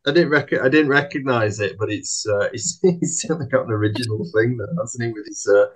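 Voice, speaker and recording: A man's voice: mid-range voice, brisk, slightly rough. A man chatting casually as a podcast panellist, tentative and often questioning. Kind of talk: casual chat.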